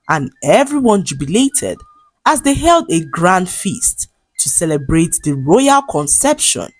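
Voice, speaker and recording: A woman with a Nigerian accent narrating a scripted folktale in a dramatic, expressive way. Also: lowish voice, breathy edge. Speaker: storyteller.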